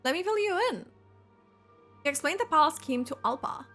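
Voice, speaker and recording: Animated, high-voiced woman reacting to a game. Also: normal speed, soft background music. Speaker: game streamer. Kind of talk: casual playthrough reaction commentary.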